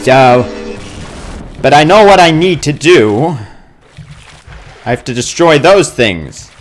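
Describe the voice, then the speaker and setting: A man doing casual let's play commentary, excitable and exclamatory, with swearing and silly made-up words. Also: a little high, slow pace, faint music behind. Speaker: gamer playing a first-person shooter. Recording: game gunfire and noise behind voice. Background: video game combat sounds.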